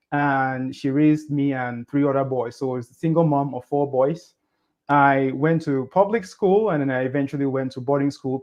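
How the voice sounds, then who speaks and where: A man, a podcast guest, telling his life story in a casual, animated way, pushing his voice up on the points he stresses. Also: mid-range voice, highs cut off. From Cameroon.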